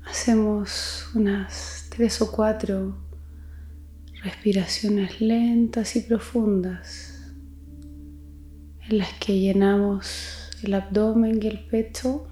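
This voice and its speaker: A woman leading a guided meditation, speaking gently and soothingly in a soft voice with long pauses. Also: medium pitch, little pitch movement, echoey room. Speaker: psychologist guiding meditation. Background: music.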